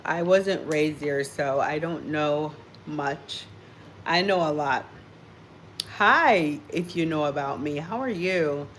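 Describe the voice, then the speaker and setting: A woman's voice: sits low, takes it slowly, a bit bright, a little hiss or hum. A woman with a Jamaican accent, raised in the United States, chatting casually with viewers on a live stream and answering their comments with easy laughter.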